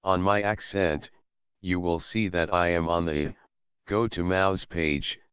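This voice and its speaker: Deep-voiced man calmly explaining a tutorial. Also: clean tone, dry recording. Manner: calm, methodical explaining. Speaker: assistive technology instructor. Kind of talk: screen-recorded tutorial. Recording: slightly dull, dry voice-over.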